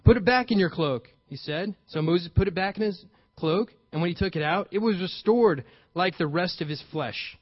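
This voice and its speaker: A young adult man preaching a sermon, lively and playful, acting out paraphrased dialogue with sudden emphasis. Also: high voice, normal speed, husky, no room sound. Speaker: pastor. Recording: dull, slightly lo-fi, dry sound.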